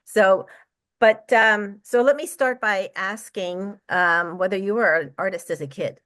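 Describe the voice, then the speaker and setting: A woman's voice: medium pitch, unhurried, fairly bright tone. Chatty woman interviewer, mid-range voice. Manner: reactive, frequent interjections and chuckles. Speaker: interviewer, fellow artist. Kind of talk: casual conversational interviewing.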